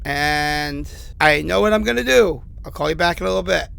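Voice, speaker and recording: A man playing a battered, sardonic man trapped in a haunted house, rambling haltingly as if leaving recorded messages in a horror audio drama. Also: fairly high pitch, lively intonation, gravelly, fairly bright tone, clean tone, dry recording, heavily acted. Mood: resigned. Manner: halting, rambling, self-deprecating.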